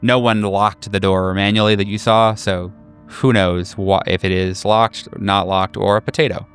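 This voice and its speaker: Low-voiced man narrating as game master. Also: steady tone, clean tone, soft background music. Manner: casual, halting, descriptive. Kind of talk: improvised narration of a horror tabletop game.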